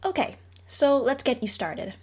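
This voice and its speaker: A woman playing a friendly, patient mentor in a roleplay audio drama, speaking to the listener in a gentle, reassuring, casually instructive way. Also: sits high, very animated, a little slow, breathy edge, full character voice. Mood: friendly, explaining. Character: friendly female mentor with powers, patient and encouraging trainer.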